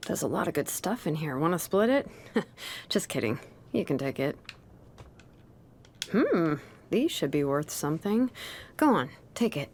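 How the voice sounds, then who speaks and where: A woman's voice: low voice, sing-song, a little slow, pauses often, a little mellow, heavily acted. A woman voicing a watchful, teasing, easygoing young adventurer in a video game. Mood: relaxed, teasing.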